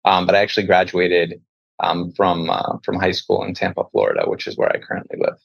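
A man's voice: sits low, level tone, brisk, gravelly, breathy edge, dry recording, highs cut off. A man from the northeastern United States answering as an executive interview guest, in careful, corporate phrasing.

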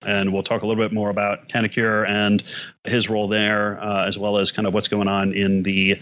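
A man's voice: lowish voice, fairly quick. Man hosting a business podcast. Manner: relaxed, many fillers and restarts. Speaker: podcast host. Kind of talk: casual interview chat.

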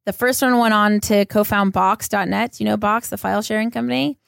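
A woman's voice: mid-range voice, fairly even intonation, fairly quick, vocal fry, smooth, no echo, studio quality. A woman with an American accent, hosting a casual interview in an enthusiastic, informal, chatty way. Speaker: podcast host.

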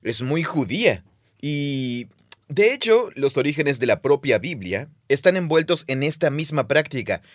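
A man's voice: medium pitch, sing-song, measured pace, no echo, studio quality. A man co-hosting a casual conversation, explaining ideas at length and murmuring agreement while his partner talks. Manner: explanatory, thinks aloud with frequent agreeing murmurs. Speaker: podcast co-host, Bible teacher.

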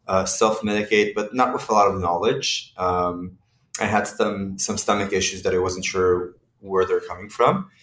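Israeli guy, low voice, a bit far from the mic in an echoey room, talks it through with lots of ums. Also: a bit bright. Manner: thoughtful, many fillers and restarts. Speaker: company CEO, guest. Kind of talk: interview answers.

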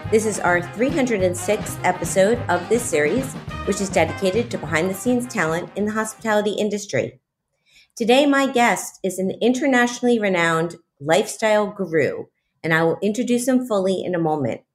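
A woman's voice: medium pitch, animated, a little fast, faint music behind. A woman hosting an interview podcast, reading introductions and talking with her guest. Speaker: podcast host.

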